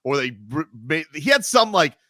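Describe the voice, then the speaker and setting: A man's voice: a little high, very animated, normal speed, pushed and bright, dry recording, studio quality. A man hosting sports talk radio, rambling casually with lots of fillers and laughs. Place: radio studio. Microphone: studio mic.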